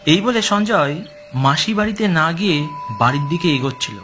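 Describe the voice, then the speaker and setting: A man's voice: a little high, expressive, fairly quick, noisy background. A man narrating a scripted horror story as its storyteller. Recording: music behind the voice.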